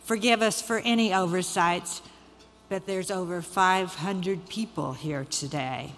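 Woman, middle-aged, event chair reading a prepared speech at a slow pace, long hall reverb. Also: fairly low pitch, over music. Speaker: event chair giving opening remarks. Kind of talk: prepared speech at an awards ceremony. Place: large event hall, on stage. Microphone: podium microphone. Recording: hall reverb, background noise, podium microphone.

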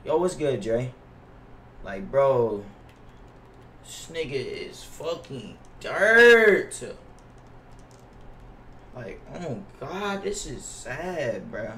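Young male streamer, casual and complaining with lots of swearing; middle-pitched, roomy distant mic with background noise. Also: big pitch swings, extremely slow, long pauses, bright, forceful tone. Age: young adult. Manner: slangy, profane, complaining. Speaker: livestreamer playing a basketball video game. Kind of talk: casual live stream chat and gameplay reaction. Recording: roomy echo, off-mic, noisy with keyboard clicks.

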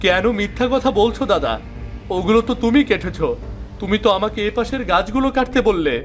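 A man's voice: sits high, animated, a little fast, soft background music, voice pushed up. A man voicing a poor, honest blind young woodcutter in a cartoon fairy tale, plaintive and earnest. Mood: pleading protest.

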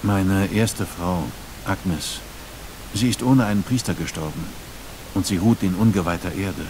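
A sincere, deep, grieving builder; hiss audible. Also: male voice. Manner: earnest, sincere. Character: earnest grieving male master builder. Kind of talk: game voice acting. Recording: noticeable hiss.

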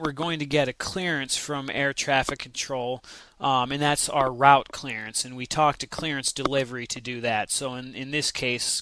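A man's voice: medium pitch, bright, forceful tone, dry recording. An American man narrating an instructional lesson in a conversational, explanatory way, carefully spelling out example radio calls. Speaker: flight simulation instructor.

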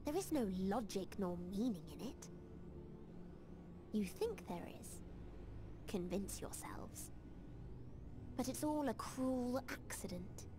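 A woman voicing a young, otherworldly nihilist being in a video game, soft, detached and solemn. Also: medium pitch, expressive, takes it slowly, pauses often, noisy background. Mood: bleak, resigned. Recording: loud music behind voice.

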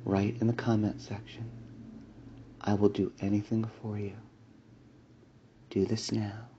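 A man delivering a slow hypnotic induction in a soft, soothing voice with breathy whispers and a repetitive commanding cadence. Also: fairly low pitch, level tone, lo-fi sound, a little hiss or hum. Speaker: hypnosis audio creator.

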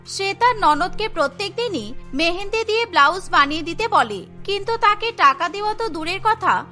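Bright high-voiced woman narrating a cartoon. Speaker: narrator voicing all female characters. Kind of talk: scripted storybook narration with acted dialogue.

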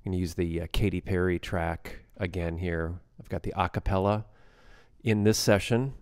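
A man giving a casual software tutorial as a music production instructor, explaining plainly step by step. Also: sits low, steady tone, normal speed, smooth, no echo, studio quality.

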